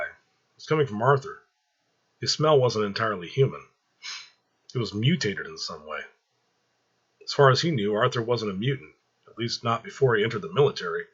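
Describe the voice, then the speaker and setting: A man's voice: medium pitch, fairly bright tone, husky, dull audio. A man narrating a written story aloud as a storyteller.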